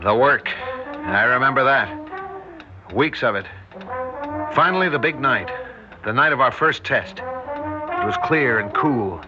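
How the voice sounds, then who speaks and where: An actor playing a troubled, thoughtful scientist, introspective and dramatic in 1960s radio drama. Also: male voice, medium pitch, wildly swooping pitch, takes it slowly, long pauses. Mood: brooding, tense. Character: troubled, thoughtful male scientist recounting his memories. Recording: muffled old radio, music behind.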